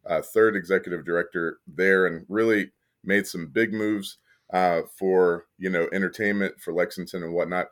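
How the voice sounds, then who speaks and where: Podcast guest: deep-voiced male arts director, relaxed, halting, filler-heavy. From Kentucky. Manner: thoughtful, many fillers and restarts, occasional laughs. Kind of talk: casual interview conversation.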